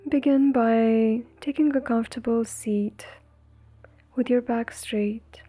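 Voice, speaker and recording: A woman leading a guided meditation in a soothing, breathy, gentle way, leaving long pauses between phrases. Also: on the high side. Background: faint music.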